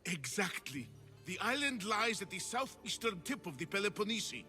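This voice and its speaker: An actor playing an excitable, flowery artist of ancient Greece in a video game, theatrical and effusive. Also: male voice, high-pitched, normal speed, soft background music, heavily acted. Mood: rapturous. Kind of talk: video game cutscene acting.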